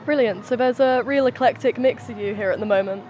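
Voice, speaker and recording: A woman's voice: fairly high pitch, brisk, a little mellow, slight background noise. A woman interviewing people at an outdoor community event, upbeat and affirming. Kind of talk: casual interview.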